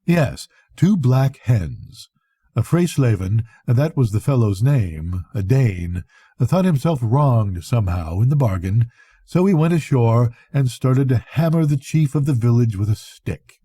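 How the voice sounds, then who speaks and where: An older man reads a literary story in a very dark, soft-edged voice with a husky grain. He speaks like a grandfather at bedtime, conversational and expressive, letting phrases breathe. The sound is dry and close, with no room around it. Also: medium pitch, a little slow, no echo. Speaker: grandfather reading bedtime stories. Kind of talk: expressive literary audiobook reading.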